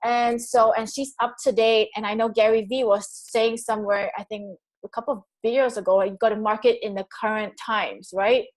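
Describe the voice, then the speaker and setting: A woman's voice: a little high, fairly even intonation, normal speed, hardly any pauses. A woman in her thirties from Singapore with a Singaporean English accent, hosting an interview in an enthusiastic, chatty way with frequent laughs. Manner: enthusiastic, laughs often, restarts phrases. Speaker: host. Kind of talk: interview chat.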